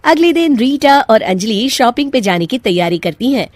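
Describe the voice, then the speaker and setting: Female narrator for animated tales, scripted storytelling, high register, dry studio-like sound. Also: normal speed, no echo.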